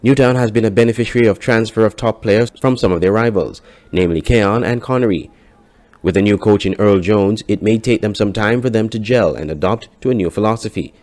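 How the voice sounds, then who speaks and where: Low-pitched Caribbean male newsreader. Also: a little fast, darkish tone. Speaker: sports newsreader. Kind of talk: scripted news narration.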